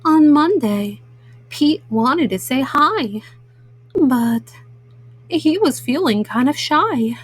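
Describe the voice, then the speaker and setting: A woman reading children's bedtime stories aloud as a storyteller, in a gentle, motherly way. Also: high voice, lively intonation, slow, long pauses, no echo.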